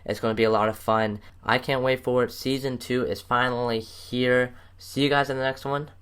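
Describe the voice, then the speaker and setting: A man's voice: medium pitch, flat delivery, moderate pace, heavy background noise. An American man hosting a sports show in a casual, conversational interview style.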